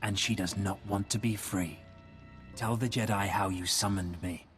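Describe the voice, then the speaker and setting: An actor playing a stern, coldly controlling Sith zealot in a video game, commanding and formal. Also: male voice, fairly low pitch, fairly even intonation, moderate pace, soft background music, lightly acted. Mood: cold, menacing.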